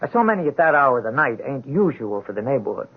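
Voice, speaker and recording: A hapless, earnest street drunk in a vintage radio mystery. His husky voice jumps around as he stammers in rough slang. The sound is muffled and thin. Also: mid-range voice, big pitch swings, quick pace. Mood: nervous, earnest. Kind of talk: 1970s radio drama acting.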